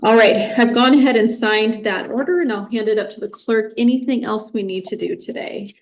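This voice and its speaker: An American woman speaking as a judge in court, formal, measured and firm as she addresses a party. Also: on the high side, rough recording. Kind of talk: unscripted courtroom ruling.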